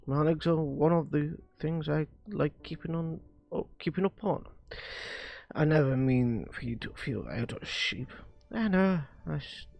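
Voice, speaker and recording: A man streaming a visual novel, reading its dialogue aloud haltingly and often stumbling and rereading lines. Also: on the high side, vocal fry, a little mellow. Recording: music behind the voice. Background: music.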